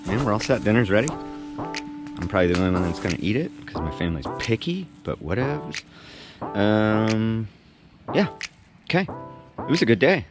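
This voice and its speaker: A man in his forties chatting to the camera in a loose, self-mocking way while cooking in his kitchen and out riding his bike. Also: fairly low pitch. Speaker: cycling vlogger. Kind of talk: casual vlog, cooking and riding. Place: home kitchen, then outdoors on a road bike. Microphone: action camera on the bike or held close. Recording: action-camera audio with music underneath.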